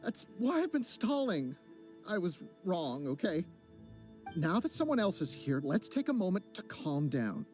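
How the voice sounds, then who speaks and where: Placating young boyfriend, game role: nervous, defensive, lively intonation, music bed. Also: male voice, sits high, a little slow, exaggerated voice. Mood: apologetic. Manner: pleading, defensive. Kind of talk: video game voice acting. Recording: game audio with background music.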